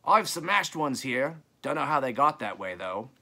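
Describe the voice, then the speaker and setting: A man's voice: medium pitch, very animated, moderate pace, bright, forceful tone, pitched above the performer's own voice, full character voice. A man voicing an offhand, folksy rustic fellow handing out bones in a storybook.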